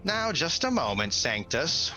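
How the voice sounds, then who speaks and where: A man's voice: a little high, big pitch swings, unhurried, bright, forceful tone, soft background music, voice pushed up, full character voice, low-quality recording. A man voicing a pompous, short, cowardly bird-folk coinmaster in a tabletop fantasy game, with a fussy, officious manner. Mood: officious, smug.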